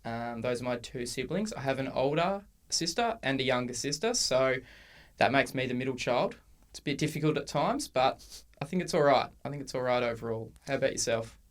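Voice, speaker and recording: An Australian man in his twenties talks in a relaxed, unhurried way, often pausing with ums and starting his sentences over. His voice sits in an ordinary middle pitch. He comes across as a casual podcast co-host. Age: 20s. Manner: relaxed, hesitant with many ums and restarts. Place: university room.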